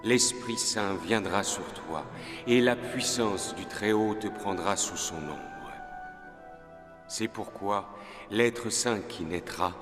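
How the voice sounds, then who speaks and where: A man voicing a solemn, majestic angel with measured, declamatory delivery in an animated Bible drama. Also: lowish voice. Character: solemn male angel messenger of God, gentle and majestic. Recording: echoing hall reverb over loud music.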